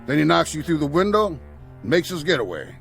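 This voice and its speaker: Folksy, sly outlaw, lively pitch. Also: male voice, brisk, gravelly, soft background music, full character voice. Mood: scheming, amiable. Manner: folksy, colloquial cowboy talk. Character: folksy, sly Old West outlaw, cheerfully in charge. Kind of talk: radio drama acting.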